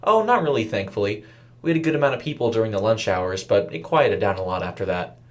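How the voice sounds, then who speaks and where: A man voicing a friendly young woman who works in fast food, chatting casually in an audio story. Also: on the low side, lively intonation, natural voice.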